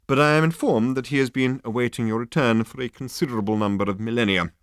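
A man's voice: mid-range voice, fast talker, clear, resonant tone, dry recording, clean studio sound, voice pushed up, lightly acted. A man voicing a small, long-suffering alien waiter in an audiobook, formal, deferential and hesitant but persistent. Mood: patiently insistent.

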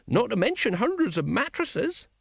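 A man's voice: very high voice, very animated, normal speed, creaky, breathy edge, no echo, studio quality, pitched above the performer's own voice, full character voice. A man voicing a stolid, ponderous royalist know-all, lecturing in an audiobook.

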